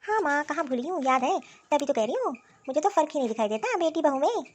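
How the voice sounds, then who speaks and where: A woman voicing a jealous, sulky sister-in-law who talks in a sarcastic, complaining way in an animated family drama. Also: high-pitched, quick pace, slight background noise. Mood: snide persuasion.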